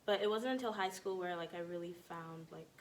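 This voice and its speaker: A woman's voice: lowish voice, fairly quick. Young woman chatting on a podcast, giggly n informal, telling her story, bit of noise behind. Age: young adult. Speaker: podcast guest. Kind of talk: casual personal storytelling.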